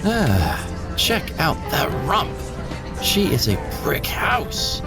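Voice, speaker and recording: A man's voice: on the high side, huge pitch swings, very slow, full character voice. A man playing a sleazy airline passenger who flirts smugly with the flight attendant. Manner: smug, leering. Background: music.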